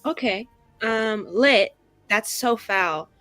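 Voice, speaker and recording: Woman tabletop player, chatty and loose, mid-pitched voice, casual swearing. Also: slow pace, bright, forceful tone, dry recording, faint music behind. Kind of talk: casual game talk.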